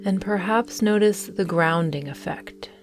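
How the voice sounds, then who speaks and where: A woman guiding a meditation in a gentle, soothing manner, with deliberate phrasing and long pauses. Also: medium pitch, noisy background. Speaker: meditation guide and host. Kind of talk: guided morning meditation.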